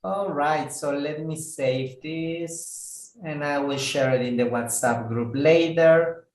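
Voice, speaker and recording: Slow, patient male English teacher. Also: a little high, darkish tone. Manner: slow, careful, repeats words for students. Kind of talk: online English lesson. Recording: echoey room.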